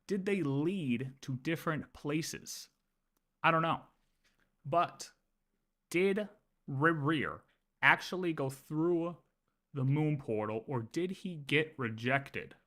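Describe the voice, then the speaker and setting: A man's voice: fairly high pitch, slow, long pauses, a bit bright. A man livestreaming casual game lore commentary, thinking aloud and often repeating his words as he works through ideas.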